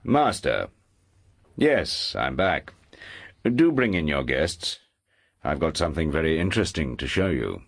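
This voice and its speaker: A deep, imperious lord. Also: male voice, big pitch swings, unhurried, gravelly, pauses often, slightly rough, exaggerated voice. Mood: courteous, controlled. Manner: curt, imperious, clipped commands. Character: commanding aristocratic uncle, curt and coolly domineering.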